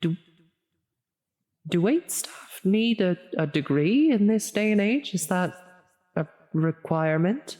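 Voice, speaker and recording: A theatrical female demon acted out by a woman speaks in a long, cavernous reverb. She draws her words out very slowly, with grand, formal phrasing and a halting delivery. It is styled as an ASMR audio roleplay. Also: lowish voice, animated, warm tone. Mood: flustered, concerned. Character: menacing female demon, grandiose, increasingly flustered and concerned.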